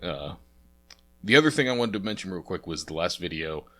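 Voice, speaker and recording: Low-voiced stuffy-nosed man chatting through games. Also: animated, a little fast, fairly bright tone. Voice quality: sniffly, congested. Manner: chatty, rambling, lots of uh fillers. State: stuffy nose from a cold. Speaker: let's play host. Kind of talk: casual game playthrough commentary.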